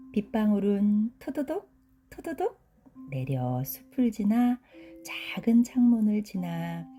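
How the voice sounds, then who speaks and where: A woman narrating a children's bedtime story in a gentle, hushed, soothing way, drawing out her words. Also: on the low side.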